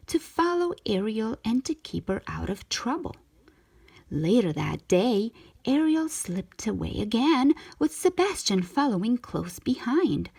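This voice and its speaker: Woman, children's read-aloud narration, animated intonation, unhurried pace, husky tone. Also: fairly high pitch. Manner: expressive, measured storytelling for children. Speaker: children's storybook reader.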